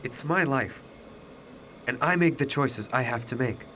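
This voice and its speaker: A man's voice: mid-range voice, unhurried, fairly bright tone, a little hiss or hum, close to an everyday voice. An actor in a radio drama playing an anxious but defiant young male university student, questioning with earnest emotion. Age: young adult.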